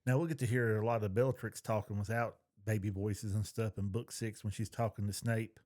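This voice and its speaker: A man's voice: lowish voice, flat delivery, a little fast, darkish tone. A man co-hosting a podcast in casual chat, with a folksy, colloquial way of talking. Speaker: podcast co-host. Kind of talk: casual chat about books and shows.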